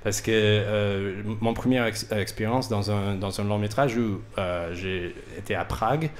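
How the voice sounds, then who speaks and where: A man's voice: on the low side, unhurried. A man hosting a radio interview in French as a second language, searching for his words and often repeating himself. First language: not French, speaks it as a second language. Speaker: radio host interviewing guests. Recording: music playing behind the voice. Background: music.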